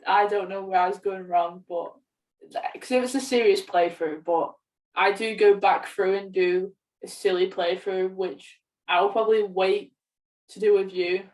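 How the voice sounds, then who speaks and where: A woman's voice: medium pitch, fairly even intonation, unhurried, a little mellow. A woman co-hosting a podcast, chatting casually about games, recorded at some distance from the microphone. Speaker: podcast co-host. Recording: distant from the mic.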